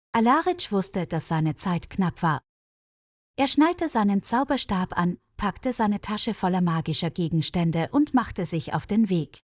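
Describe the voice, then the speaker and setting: A woman's voice: on the low side, darkish tone, dry recording, clean studio sound. A woman reading a scripted fairy tale as a storyteller for language learners. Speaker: storyteller, narrator.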